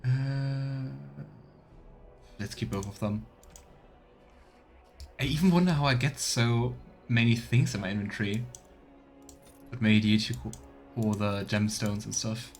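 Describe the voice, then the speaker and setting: A man giving casual let's play commentary as a streamer, halting and murmuring to himself as he thinks aloud. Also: mid-range voice, soft background music. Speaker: streamer playing a video game. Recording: clear background noise, keyboard clicks.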